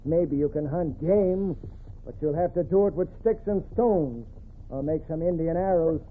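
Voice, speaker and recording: An actor playing a stubborn, bossy, greedy frontier leader with a husky voice and folksy western speech, in a muffled 1940s radio drama. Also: male voice, fairly high pitch, moderate pace, close to an everyday voice. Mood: defiant.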